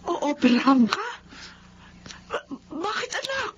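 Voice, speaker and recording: A woman's voice: very high voice, big pitch swings, slow pace, full character voice. A woman with a Filipino accent playing a loving, repentant older mother in a radio drama. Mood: alarmed, anxious. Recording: muffled lo-fi radio, faint noise.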